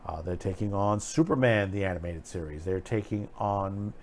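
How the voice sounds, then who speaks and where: A man hosting an online film show, giving unscripted, opinionated commentary in a halting, rambling way with emphatic repeated phrases. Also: sits low.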